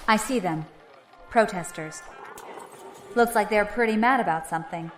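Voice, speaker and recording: A woman acting in an audio drama as a wry, decisive mission leader who gives brisk orders. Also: mid-range voice, faint music behind, natural voice. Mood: wry, businesslike.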